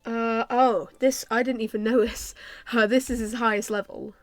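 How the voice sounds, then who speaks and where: A young woman with a stuffy nose from a cold, casually commenting on her game and thinking aloud with many ums and soft laughs. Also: on the high side, steady tone, normal speed, no room sound. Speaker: gaming YouTuber.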